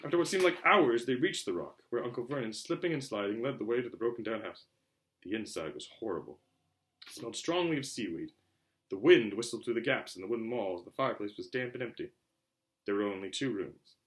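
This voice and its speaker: Guy reading an audiobook, theatrical storybook narration, really animated pitch. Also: fairly quick. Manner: expressive, theatrical. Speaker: audiobook reader.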